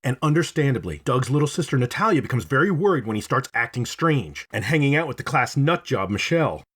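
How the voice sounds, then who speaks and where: A man with a husky voice narrates a scripted video essay like a storyteller. His pitch moves in lively swings around a middle register. The delivery is expressive and dramatic, loosened by casual swearing. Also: quick pace. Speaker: video host, reviewer.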